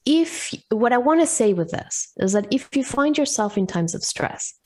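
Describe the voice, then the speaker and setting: A woman's voice: medium pitch, darkish tone. A woman with a Swiss-Colombian accent, a podcast guest explaining nutrition in an enthusiastic, explanatory way with upbeat asides. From Switzerland. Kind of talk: casual podcast interview.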